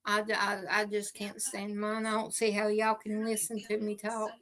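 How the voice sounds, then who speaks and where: A woman co-hosting a casual talk show, self-deprecating and talking in quick bursts. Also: medium pitch, completely flat delivery, fairly bright tone. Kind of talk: casual chat.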